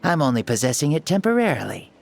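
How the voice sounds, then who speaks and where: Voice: mid-range voice, very animated, fast, vocal fry, slight background noise. An actor voicing a jovial ancient hero-god in a child's body, playful and theatrical, in a video game. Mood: breezy, teasing. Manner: playful, theatrical, cheeky with mock formality. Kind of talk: animated video game voice acting. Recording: music behind the voice.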